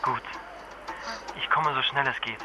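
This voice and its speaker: An actor playing a worried, protective husband, speaking earnestly and soothingly with an occasional stammer in a naturalistic radio drama phone call. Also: male voice, medium pitch, fairly even intonation, measured pace, natural voice. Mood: tender, soothing. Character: worried, protective husband, patient but anxious. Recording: noisy ambience with vehicle sounds.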